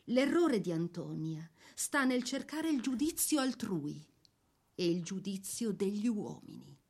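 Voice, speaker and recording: Casting: female narrator for radio literary biography, mid-range voice, measured scripted delivery. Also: mid-range voice, big pitch swings, a little mellow, breathy edge.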